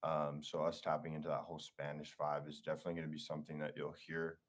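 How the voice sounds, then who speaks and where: A man's voice: extremely low pitch, completely flat delivery, quick pace. A young man, a singer, talking in a laid-back, slangy way as an interview guest. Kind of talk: casual interview chat.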